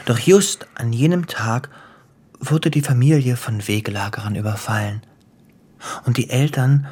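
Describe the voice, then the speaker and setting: A man acting in a German radio play as a cruel, gleeful crime boss, theatrical and taunting, with a husky voice that drops into whispers. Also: medium pitch, unhurried, a little mellow. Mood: relishing, theatrical. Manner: theatrical, taunting, savoring storyteller.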